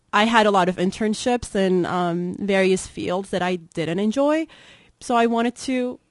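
A woman's voice: medium pitch, a little slow, no echo, clean studio sound. A woman from Turkey with a Turkish accent, answering as an expert guest in an informal, conversational way. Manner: informal, frequent "like" and "kind of". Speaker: guest expert, political science professor.

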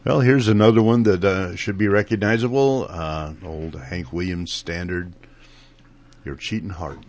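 A man in his sixties, a band musician, answering softly and briefly in a radio studio. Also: sits low, measured pace. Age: 60s. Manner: soft-spoken, quiet and brief.

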